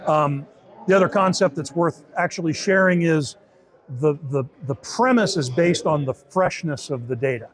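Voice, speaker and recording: A man's voice: a little high, moderate pace. A man giving an informal, step-by-step demo and explanation of how something works. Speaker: beta test supervisor giving a product demo.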